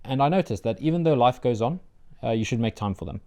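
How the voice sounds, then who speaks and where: A young man from South Africa with a South African accent, Afrikaans his first language, answering interview questions about his doctoral studies in an earnest, measured way with frequent fillers. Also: mid-range voice, expressive, warm tone. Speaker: PhD candidate, interviewee.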